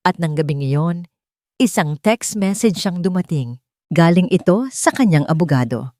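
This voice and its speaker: A woman reading scripted dramatic narration as a storyteller, in measured short phrases. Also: lowish voice, expressive, a little mellow, dry recording, studio quality.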